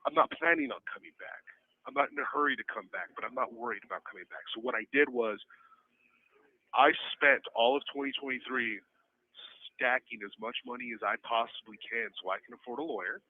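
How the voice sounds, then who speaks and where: A man's voice: mid-range voice, fairly quick. Guy in his 40s calling from jail, swears a lot, telling stories, thin phone sound. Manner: profane, emphatic, slangy. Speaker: inmate phoning in to a stream. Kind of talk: casual storytelling monologue. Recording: tinny, band-limited jail phone line.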